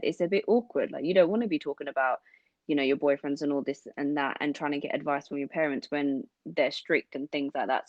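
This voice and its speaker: A woman's voice: deep voice, no echo. A young woman from London co-hosting a podcast, chatting casually and agreeing often. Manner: agreeable, frequent "mm-hmm" backchannels. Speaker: podcast co-host. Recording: dull dry lo-fi sound.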